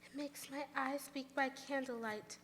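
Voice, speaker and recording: A careworn mother in mourning, acted by a woman, with a highish, bright voice. She is tender and earnest in the style of a live radio play, heard in a reverberant hall. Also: steady tone, normal speed, a little hiss or hum. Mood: weary, wistful. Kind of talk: live radio theater acting.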